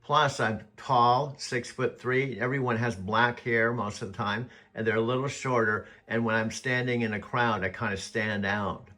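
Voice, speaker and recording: Bright-voiced American man telling stories. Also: mid-range voice, fairly even intonation, normal speed, few pauses. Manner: rambling storyteller, folksy. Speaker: video host. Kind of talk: casual chat.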